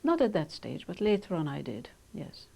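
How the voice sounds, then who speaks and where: An older woman with a low, gravelly voice and a mellow, dark tone. She speaks in measured, reflective sentences and pauses often. Her first language is Hungarian, and she is being interviewed about her childhood. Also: sing-song. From Romania. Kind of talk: oral history interview.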